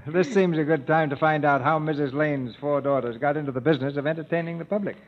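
Genial radio host, slightly high, muffled broadcast with long hall reverb. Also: male voice, fast. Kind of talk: scripted radio banter.

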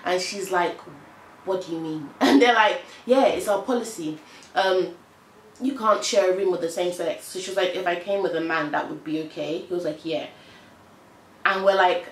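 A woman's voice: on the low side, reverberant, a little hiss or hum, low-quality recording. Chatty English woman vlogging to camera. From United Kingdom. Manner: animated, frank, exasperated storytelling with hand gestures. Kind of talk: casual chat to camera. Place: small indoor room, on a sofa.

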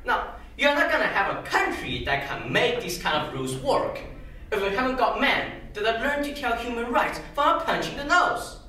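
A man acting an earnest, idealistic senator in a theatrical monologue, speaking emphatically with halting, drawn-out phrases. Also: extremely high pitch, big pitch swings, pushed and bright, exaggerated voice. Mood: folksy, earnest. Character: earnest, impassioned idealistic male senator making a speech. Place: large echoey room, mic at a distance. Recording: echoey room, distant mic, faint noise.

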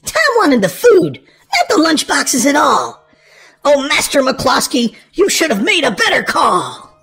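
Female children's story host, extremely high voice, hugely varied sing-song delivery, music underneath. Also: wildly swooping pitch, unhurried, a bit bright. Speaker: host and reader of a children's read-aloud show. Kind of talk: animated children's storybook narration.

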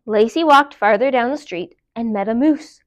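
A woman in her thirties reading a children's picture book aloud in an expressive, emphatic storytime style. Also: on the high side, no room sound. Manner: animated, emphatic, deliberate storytelling. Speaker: library storytime reader. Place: indoor room, seated before a dark wall. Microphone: clip-on lapel mic.

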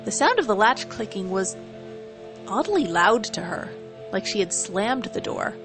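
Female narrator, mid-pitched, for dramatic story reading with music behind. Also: sing-song, unhurried.

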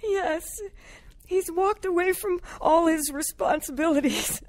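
A woman's voice: very high voice, unhurried, no room sound. An actress playing a troubled wife and mother who tells her life story in an earnest, confessional radio drama style. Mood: distressed. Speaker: lead actress narrating. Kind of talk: radio drama first-person narration.

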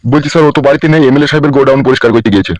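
A man's voice: medium pitch, racing, darkish tone, close to an everyday voice. A man voicing a hot-tempered, boastful, crude village husband in a comic cartoon. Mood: puzzled, deferential. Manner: blustering, scolding, crude. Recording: noisy amateur voice track with clicks.

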